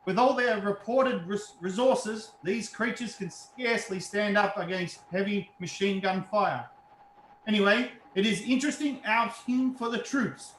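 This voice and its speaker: A man's voice: high voice, a bit bright. A man playing a confident army signal captain giving a field report in a radio drama, stumbling over words. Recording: echoey room with background noise.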